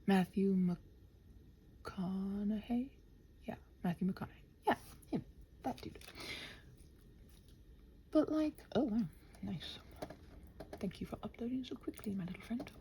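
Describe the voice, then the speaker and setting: Mellow, scattered woman muttering in lively swoops. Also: mid-range voice, slight background noise. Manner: scattered, muttering to herself, often drops into whispers; playfully talks to the computer and quotes imagined exchanges. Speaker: channel host rambling while working. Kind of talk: casual rambling body-doubling ASMR chat.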